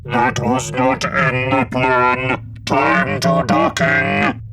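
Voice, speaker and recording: A man's voice: medium pitch, monotone, extremely slow, crowd noise. A man voicing an emotionless cybernetic giant in a sci-fi audiobook, with a mechanical, sibilant, robot-treated voice and a toneless, clipped manner. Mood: cold, toneless.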